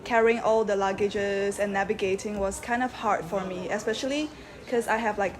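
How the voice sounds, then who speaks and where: A young woman student in a relaxed, casual way, listing her favorite places to visit. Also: mid-range voice, moderate pace, over music. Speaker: summer school student. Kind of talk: casual recommendations.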